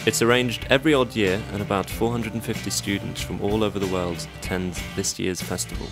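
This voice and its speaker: A man's voice: on the low side, steady tone, fairly quick, music in the background. A man reading scripted documentary narration as a voice-over.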